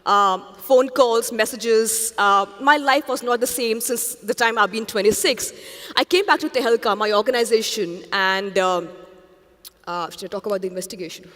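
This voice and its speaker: A woman's voice: a little high, normal speed, a bit bright. A woman from India, answering interview questions on stage in an earnest, reflective way. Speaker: journalist, interview guest. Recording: long hall reverb.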